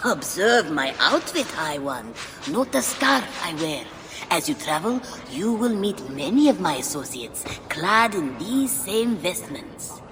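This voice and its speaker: Amid a long reverb and clear background noise, a scheming female imp who trades wares in a game. Her delivery is theatrical and insinuating, swooping widely as she teases. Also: fairly low pitch, very animated, unhurried. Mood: businesslike, sly. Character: sly, teasing, scheming female imp merchant. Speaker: merchant character. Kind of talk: game cutscene acting.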